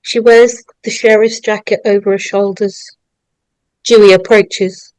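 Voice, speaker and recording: A woman reading scripted stage directions as narrator, deliberate and storytelling. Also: mid-range voice, steady tone, darkish tone, clean tone, no room sound, low-quality recording.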